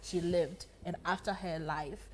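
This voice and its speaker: Low-voiced, earnest Ghanaian woman. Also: fairly even intonation, measured pace, a little hiss or hum, rough recording. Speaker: event coordinator, interview guest. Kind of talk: formal interview talk.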